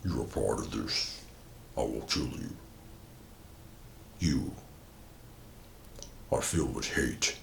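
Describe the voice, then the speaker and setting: A monstrous swamp avenger. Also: male voice, takes it slowly, vocal fry, long pauses, roomy sound, slight background noise, heavily acted. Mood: menacing. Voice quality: deep growling whisper. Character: hulking swamp monster of vengeance, male, menacing.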